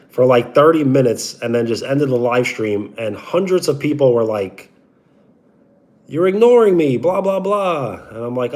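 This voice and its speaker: A young man with a Brooklyn accent, live streaming and chatting casually with his viewers. He greets them with a loud, drawn-out "yo" and talks like a sports radio personality. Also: medium pitch. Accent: Brooklyn, New York. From New York.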